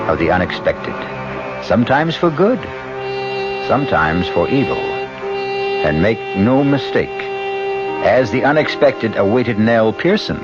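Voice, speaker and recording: A man hosting a radio show with theatrical, measured scripted narration. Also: mid-range voice. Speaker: host and announcer. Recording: muffled 1970s radio broadcast.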